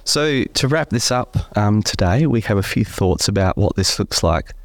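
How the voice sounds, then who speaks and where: A man co-hosting a faith podcast, slightly croaky from a cold, talking in a relaxed way with many fillers. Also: on the low side, moderate pace. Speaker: podcast co-host. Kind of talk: casual faith podcast chat.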